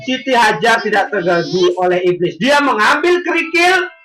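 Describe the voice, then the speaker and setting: A woman telling religious stories to children in an animated way, questioning them and repeating phrases. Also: a little high, moderate pace. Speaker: children's storyteller with puppet. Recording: echoey room, loud music bed.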